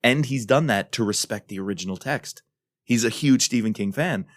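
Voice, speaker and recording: A man with a fairly low voice co-hosts a podcast. He talks casually, with enthusiasm and emphasis, in a dry, close-sounding space. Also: moderate pace, no room sound. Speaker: podcast co-host. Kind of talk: casual discussion.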